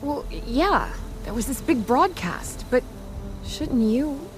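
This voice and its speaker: A woman's voice: sits high, sing-song, slow pace, music in the background, heavily acted, rough recording. A woman playing a scrappy, friendly young treasure hunter in a video game, with a casual, earnest and slightly hesitant delivery. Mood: hopeful, eager. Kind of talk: naturalistic video game dialogue.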